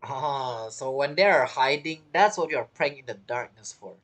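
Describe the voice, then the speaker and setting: A woman's voice: extremely low pitch, animated, moderate pace. A woman giving casual reaction commentary as she plays through a video game story. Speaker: gameplay streamer.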